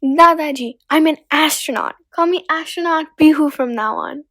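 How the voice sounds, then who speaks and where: A woman voicing an excited, imaginative little girl who explains things eagerly in a children's storybook. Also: high-pitched, normal speed, no room sound, clean studio sound. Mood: excited, proud. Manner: bright-eyed, eager explaining. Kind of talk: children's storybook read-aloud.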